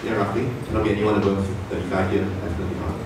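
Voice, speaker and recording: A man's voice: low voice, steady tone, rapid-fire. A Malaysian man in his late twenties, founder, giving a chatty, self-deprecating conference talk full of fillers and restarts. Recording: echoey hall, mic far off, noisy.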